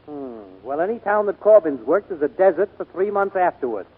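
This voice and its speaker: A pompous medicine-show colonel in an old radio drama. His pitch is high and swoops around grandly as he rolls out florid, hearty phrases. The recording is hollow and tinny. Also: expressive, a little fast, slightly rough, in a large hall. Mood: blustering, genial. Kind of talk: 1940s radio acting.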